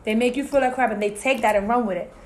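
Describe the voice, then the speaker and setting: A woman's voice: fairly high pitch, fairly even intonation, fast, darkish tone, a little hiss or hum. A Puerto Rican-American woman co-hosting a casual discussion, talkative, full of "you know" and "so it's like". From Puerto Rican family, US-raised. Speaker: podcast co-host.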